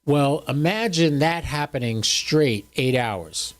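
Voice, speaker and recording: A man's voice: on the high side, lively intonation, slow. A man in his seventies hosting a livestream, chatting casually with viewers in a folksy, joking, rambling way. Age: 70s. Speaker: host of a ham radio livestream.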